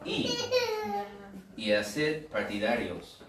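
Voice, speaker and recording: A man's voice: lowish voice, expressive, extremely slow, recorded from a distance, heavy background noise. American man preaching in a loose, humorous way; echoey room, off-mic. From United States. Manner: humorous, conversational, with asides and rhetorical questions. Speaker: pastor. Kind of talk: sermon.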